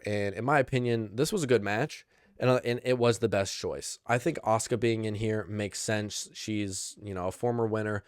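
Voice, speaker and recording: A young man hosting a podcast, recapping wrestling in casual chat, rambling and excitable, with lots of restarts. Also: lowish voice, smooth, dry recording, clean studio sound. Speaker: podcast host.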